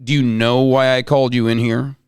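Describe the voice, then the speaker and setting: A man playing a flustered, long-winded, greedy school principal in an amateur comic table read, halting and exclamatory. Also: medium pitch, little pitch movement, darkish tone, clean tone, no echo, studio quality, voice pushed down, natural voice. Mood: eager, scheming.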